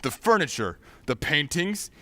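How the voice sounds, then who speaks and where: A man's voice: on the high side, sing-song, unhurried, fairly bright tone. A man playing an earnest, authoritative town meeting leader in a radio play, emphatic and formal. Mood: commanding. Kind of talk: old-time radio drama acting.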